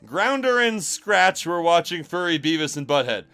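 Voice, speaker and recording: Animated high-pitched man reading aloud theatrically. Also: normal speed, clean tone. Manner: theatrical delivery, breaks into giggles and asides. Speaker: streamer reading fan fiction aloud. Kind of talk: dramatic, mocking story reading on a casual stream. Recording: music behind the voice. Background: music.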